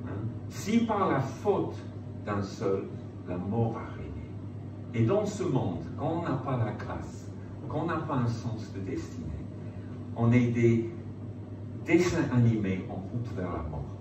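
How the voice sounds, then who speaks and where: A man's voice: medium pitch, big pitch swings, takes it slowly. A man preaching an evangelical sermon, emphatic, repeating phrases and putting rhetorical questions to his listeners. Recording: roomy, distant mic, loud background noise.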